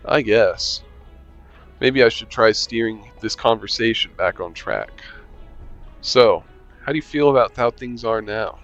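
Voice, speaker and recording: A man's voice: on the low side, a little slow, a bit bright, dull audio, soft background music. A man reading a visual novel aloud with commentary, making dry, sarcastic asides and swearing casually. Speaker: game streamer.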